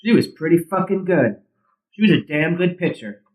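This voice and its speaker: A male actor plays a peacemaking ballplayer in an audio drama. He is a casual, foul-mouthed man with a high and lively voice. The sound is noticeably roomy. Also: normal speed, natural voice. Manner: placating, casual, profane. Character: Tony, placating male softball teammate. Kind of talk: naturalistic audio drama acting.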